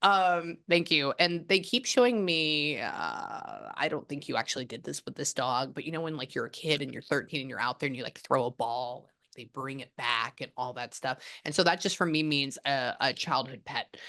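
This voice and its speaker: Woman in her 30s, low voice, chatty rambling medium on a video call. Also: pushed and bright. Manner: chatty and rambling, quick bursts of surprise. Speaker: psychic medium. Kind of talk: live reading session on a video call.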